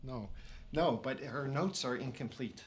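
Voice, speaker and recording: Actor as an earnest male researcher, steady and explanatory, with faint hiss behind. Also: medium pitch, measured pace, close to an everyday voice. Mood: explaining.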